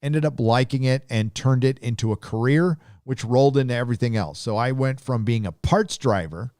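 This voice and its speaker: A Southern Californian man hosting a live stream, talking in a loose, rambling drawl with lots of fillers. His voice sits at an ordinary middle pitch for a man. The sound is dry and close, with no room echo. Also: normal speed, darkish tone. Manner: rambling, laid-back drawl with many fillers. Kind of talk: casual live chat reading donations.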